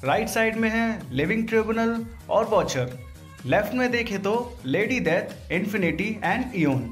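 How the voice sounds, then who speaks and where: Man, high voice, informal slangy commentary, video host, music behind. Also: lively intonation, normal speed. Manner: informal, crude slangy joking. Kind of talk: trailer breakdown voice-over commentary.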